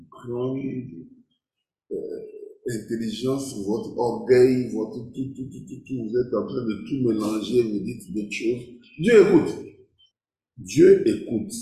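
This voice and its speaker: A man's voice: mid-range voice, warm tone. A man preaching a sermon in French, emphatic and repetitive, quoting scripture and asking rhetorical questions. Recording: echoey, reverberant room.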